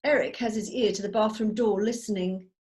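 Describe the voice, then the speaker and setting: A woman's voice: medium pitch, steady tone, brisk, a little mellow. A woman narrating scripted stage directions at a drama table read held over a video call.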